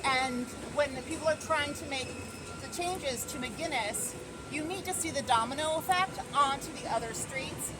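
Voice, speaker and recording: A middle-aged woman, a local resident advocate, giving a walking tour of her street in an earnest, thoughtful way with many pauses and ums, filmed on a handheld camera outdoors. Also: high-pitched, loud music behind the voice. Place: city street outdoors by the waterfront. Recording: loud street traffic noise. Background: traffic and construction noise.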